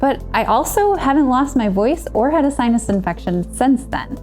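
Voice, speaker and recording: A woman's voice: high-pitched, expressive, normal speed, darkish tone, soft background music. A woman in her thirties earnestly telling her own story as a client and coach, in a scripted testimonial. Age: 30s.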